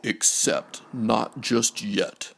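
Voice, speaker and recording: A man narrating a bedtime storybook aloud in a hushed, measured, rhyming delivery. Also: fairly low pitch, vocal fry, noisy background.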